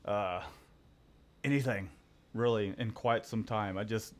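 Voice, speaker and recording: A man's voice: on the low side, unhurried. A man hosting a casual live stream about his print shop, rambling good-humoredly with many restarts. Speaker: host and screen printer.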